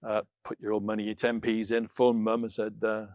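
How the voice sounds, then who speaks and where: Middle-aged British male, low register, dry close sound, conversational storyteller for an interview guest spot. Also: monotone, normal speed, a little mellow, dry recording. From United Kingdom. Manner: anecdotal, re-enacts dialogue as he tells it. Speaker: interview guest, former military linguist.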